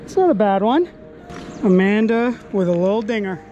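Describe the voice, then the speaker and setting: A young man fly fishing outdoors while wading in a river, excitedly commenting on each catch into a body-worn action camera. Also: high voice, normal speed, highs cut off, soft background music. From Canada. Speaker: fly-fishing angler and host. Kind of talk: casual outdoor vlog commentary.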